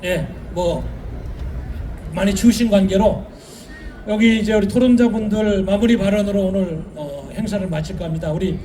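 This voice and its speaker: A man moderating an outdoor rally panel, briskly and formally handing the floor to speakers. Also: high-pitched, dark tone, distant mic, slight background noise. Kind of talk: event moderation.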